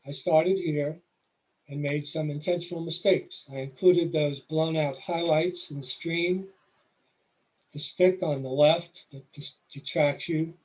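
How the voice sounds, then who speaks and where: A man's voice: a little high, measured pace, poor audio. New York man teaching photography. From New York City. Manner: relaxed, explanatory, with occasional fillers. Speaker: photography presenter. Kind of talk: slide presentation lecture.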